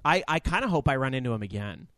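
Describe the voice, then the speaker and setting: A man co-hosting a casual podcast, telling profane, animated stories. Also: medium pitch, quick pace, no echo, studio quality. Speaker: podcast co-host. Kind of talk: casual chat.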